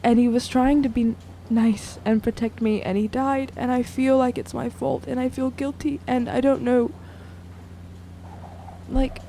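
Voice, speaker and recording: A woman's voice: high-pitched, flat delivery, unhurried, mellow, slight background noise. A woman playing a tabletop game, speaking casually and a little hesitantly as she improvises. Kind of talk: casual improvised in-character chat.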